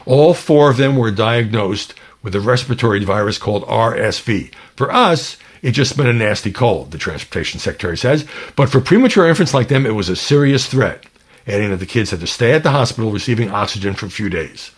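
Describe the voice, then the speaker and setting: A man's voice: fairly low pitch, expressive, brisk, husky. A man hosting a podcast, giving conversational news commentary with emphatic, opinionated asides. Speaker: podcast host and political commentator.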